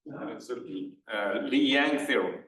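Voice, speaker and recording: A man in the audience speaking loudly from the floor of a lecture hall, wry and chuckling. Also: mid-range voice, measured pace, slight background noise. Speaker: audience member asking. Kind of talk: question from the audience. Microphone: far from the mic. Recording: roomy hall from far off.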